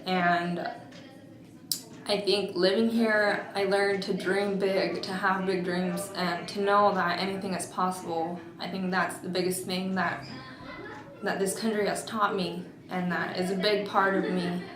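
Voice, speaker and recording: A woman in her twenties talks slowly and deliberately, pausing often as she gathers her thoughts. She is recorded in an echoey room. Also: on the low side, little pitch movement, crowd noise. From Mexico, raised in Oregon. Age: 20s. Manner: slow and thoughtful, with frequent pauses and fillers. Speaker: interviewee. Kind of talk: oral history interview.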